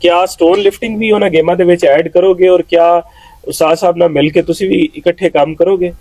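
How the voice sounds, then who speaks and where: A man asks long, courteous interview questions and keeps praising his guests. His voice sits somewhat high for a man. The sound outdoors is dry and close. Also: steady tone, a little fast, warm tone, dry recording. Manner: polite, long winding questions, frequent "mashallah". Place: outdoor lawn at night.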